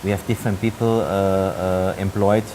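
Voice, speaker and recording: A man's voice: sits low, level tone, normal speed, background noise. A middle-aged man from Austria with an Austrian German accent, a hotel general manager answering interview questions hesitantly, with many fillers and restarts.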